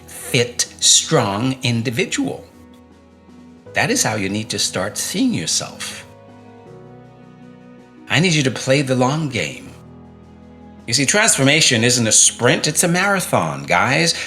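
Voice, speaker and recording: A man's voice: medium pitch, pushed and bright. A middle-aged man coaching viewers on fitness and mindset in an emphatic motivational talk, repeating key phrases and asking rhetorical questions.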